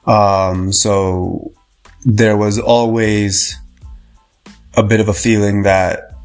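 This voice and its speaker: Low-voiced Canadian man chatting loosely. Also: little pitch movement, extremely slow, faint music behind. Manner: rambling, many fillers and restarts. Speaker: podcast guest. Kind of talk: casual interview talk.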